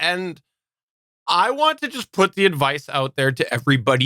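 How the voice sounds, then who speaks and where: A man's voice: mid-range voice, pushed and bright, dry recording. A man co-hosting a casual podcast discussion, giving earnest advice and explaining his points with rhetorical questions.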